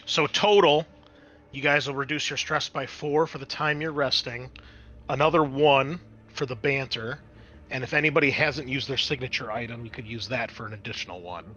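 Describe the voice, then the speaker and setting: A man's voice: medium pitch, fairly even intonation, normal speed, pushed and bright, dull audio. A man narrating and refereeing a tabletop roleplaying game as game master, in a conversational, explanatory way.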